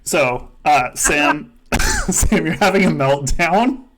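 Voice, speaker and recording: A man's voice: a little high, animated, slow pace, a crowd in the background, low-quality recording. A man hosting a casual podcast game, chatting and joking with frequent swearing and laughter. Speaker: podcast host. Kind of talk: casual chat running a simulated reality-show game.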